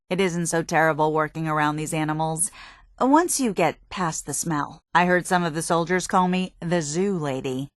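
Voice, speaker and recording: An actress playing a dedicated, drily impatient military research scientist in a video game, precise, matter-of-fact and slightly prim. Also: female voice, low-pitched, no room sound, clean studio sound, natural voice. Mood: businesslike. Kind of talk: video game character dialogue.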